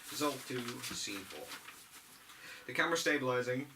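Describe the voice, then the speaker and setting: A man's voice: medium pitch, lively intonation, moderate pace. A man reading stage directions aloud at an amateur script read-through, sometimes stumbling over the text. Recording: distant mic, faint room noise.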